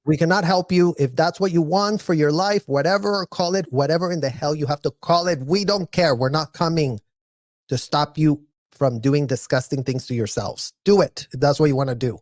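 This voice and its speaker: A man's voice: a little high, normal speed, a little mellow, dry recording, clean studio sound. Adamant man, heated and insistent. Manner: adamant, impassioned. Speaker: channel host. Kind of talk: livestream discussion.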